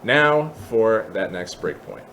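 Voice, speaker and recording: A man giving a casual, explanatory software tutorial, thinking aloud informally as he goes step by step. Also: fairly low pitch, big pitch swings, slow, vocal fry, a little hiss or hum. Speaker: tutorial host.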